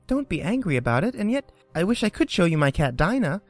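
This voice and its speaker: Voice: mid-range voice, big pitch swings, fast, darkish tone, dry recording, faint music behind, voice pushed up. A reader voicing a polite, chatty young girl who stumbles over her words in a storybook reading. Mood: eager.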